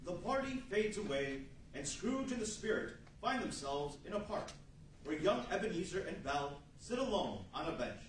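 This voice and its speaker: A man's voice: high voice, very animated, moderate pace. A man reading dramatic scripted narration as the narrator of a radio play. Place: large meeting room with seated audience, far camera. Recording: very echoey hall, distant microphone, faint noise.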